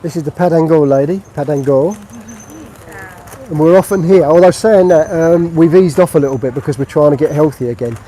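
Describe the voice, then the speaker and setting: A man's voice: a little high, brisk, dark tone, a little hiss or hum, rough recording. A middle-aged man from England, chatting casually on a live-stream walking tour of a street market. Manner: chatty, friendly, narrating while walking. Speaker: vlogger.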